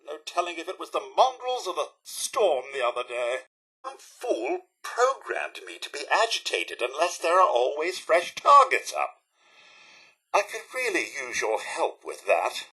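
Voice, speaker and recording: Fussy high-pitched British robot butler. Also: male voice, huge pitch swings, a little slow, pushed and bright, exaggerated voice, low-quality recording. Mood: exasperated. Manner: formal, deferential, crisply pompous. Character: polite, fussy robot butler, loyal and droll. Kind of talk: theatrical video game character acting.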